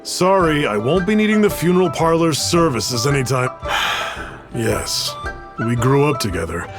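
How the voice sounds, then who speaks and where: A plain-spoken townsman from a video game, talks in a middle-pitched voice with clear music behind him. He is measured and matter-of-fact, slightly guarded, with a nostalgic streak. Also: animated. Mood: wary, wistful. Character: plain-spoken young male cook, wary but nostalgic. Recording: background music.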